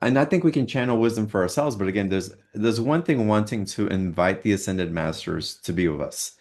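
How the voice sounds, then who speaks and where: A man hosting a spiritual talk, asking thoughtful questions in a soft, halting way. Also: lowish voice. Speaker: host. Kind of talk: casual interview chat.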